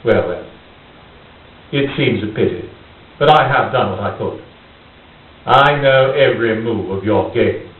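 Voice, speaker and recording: A man acting a menacing, courteous criminal mastermind in radio theater, speaking in a formal, deliberate, coldly polite way. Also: on the low side, close to an everyday voice. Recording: tinny, hissy, roomy, distant microphone.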